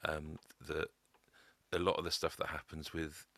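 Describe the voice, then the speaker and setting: A man telling his own story as a podcast guest, halting often with a stammer. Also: rumbling low, monotone, fairly bright tone, no room sound. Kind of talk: personal testimony.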